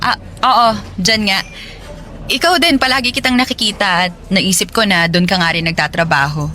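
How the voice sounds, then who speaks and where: A woman's voice: medium pitch, normal speed, slight background noise, natural voice. An actress playing a friendly single office woman, chatty and sympathetic, in casual naturalistic radio drama dialogue. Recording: studio voice with soft music underneath.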